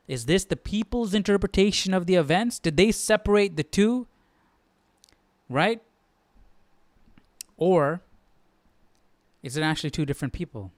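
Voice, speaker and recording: A man hosts a casual Bible livestream, wondering aloud and posing questions. His voice is a touch high, smooth and clean, recorded very dry. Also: no echo. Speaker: host of a live Bible study.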